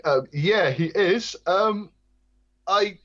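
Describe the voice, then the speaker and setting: A man with a Welsh accent, a podcast guest and comic artist, chatting in a soft, light, self-deprecating way. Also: sits high, expressive, drawn-out words, lo-fi sound. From Wales. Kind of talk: casual chat.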